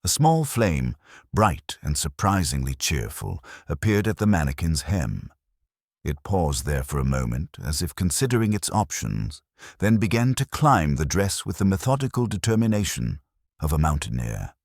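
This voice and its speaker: A man narrating a scripted mystery story in a measured, literary way with dry irony. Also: extremely low pitch, sing-song, vocal fry, no echo. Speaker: audiobook narrator.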